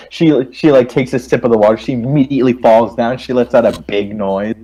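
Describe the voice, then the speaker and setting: A man's voice: mid-range voice, moderate pace, warm tone, muffled recording. A man reading visual novel narration aloud among friends in a playful, jokey way.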